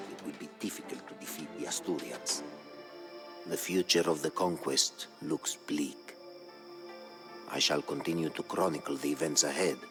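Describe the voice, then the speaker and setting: An actor playing an aged, solemn chronicler of military campaigns, narrating a game storyline in a grave, measured way. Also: male voice, extremely low pitch, creaky, exaggerated voice. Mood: grave foreboding. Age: older. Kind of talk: dramatic scripted storyline narration.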